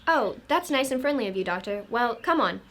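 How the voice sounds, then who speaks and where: A woman's voice: on the high side, lively intonation, fairly quick, a bit bright. A woman playing a chatty, deferential local police sergeant in an amateur radio drama, with a folksy manner. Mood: friendly. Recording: clear background noise.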